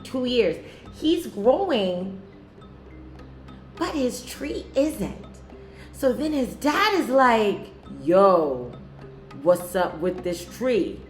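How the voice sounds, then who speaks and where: A woman teacher reading a children's story aloud and discussing it in an expressive style. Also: on the high side.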